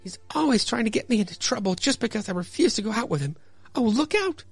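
A man's voice: sits high, animated, fast, creaky, slightly rough, dry recording, soft background music, pitched above the performer's own voice, full character voice. A man voicing a deceitful young woman, really a witch, who pleads melodramatically in a radio play. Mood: frightened, pleading.

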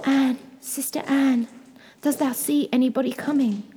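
A woman's voice: very high voice, huge pitch swings, a little slow, a little hiss or hum, pitched above the performer's own voice, exaggerated voice. A woman voicing a frightened, desperate young bride pleading in a storybook fairy tale. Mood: anxious, pleading. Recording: long hall-like reverb.

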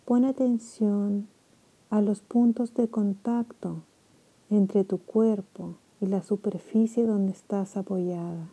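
A woman guiding a sleep meditation in a soft, soothing way, with long pauses between phrases. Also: mid-range voice, fairly even intonation, slightly rough, poor audio. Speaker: meditation guide.

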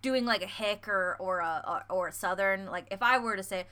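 A woman's voice: mid-range voice, brisk, pushed and bright, clear, resonant tone. A young American woman hosting a podcast, chatting in a bubbly way with lots of fillers. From United States. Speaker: podcast host. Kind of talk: casual chat.

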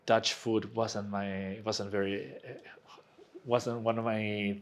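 A man's voice: fairly low pitch, flat delivery, slow. A Chilean man in his forties, a guest chatting casually in an interview, hesitant, often restarting his phrases, with dry humor. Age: 40s.

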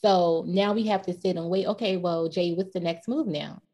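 A woman co-hosting a casual podcast chat, relaxed and opinionated, laughing often. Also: lowish voice, moderate pace. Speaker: co-host.

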